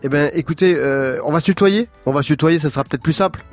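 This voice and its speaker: A man's voice: fairly high pitch, quick pace, faint music behind. A man hosting a radio show, chatting with his guest and often repeating words as he searches for them. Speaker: radio host. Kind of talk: radio interview.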